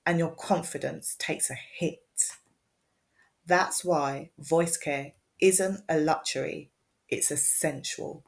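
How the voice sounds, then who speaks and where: A woman, a speech and language therapist, giving a measured, scripted presentation that explains as it goes. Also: low voice.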